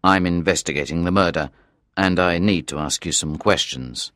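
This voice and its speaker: A man playing a polite, deep-voiced police inspector. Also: fairly bright tone, no echo, clean studio sound. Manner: polite, measured questioning. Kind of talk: audiobook character reading.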